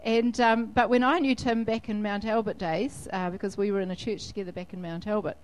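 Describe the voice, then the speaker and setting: A woman leading a church service, mid-pitched and friendly. She interviews a guest in a chatty way and leads the congregation in prayer. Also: steady tone, fairly quick, poor audio.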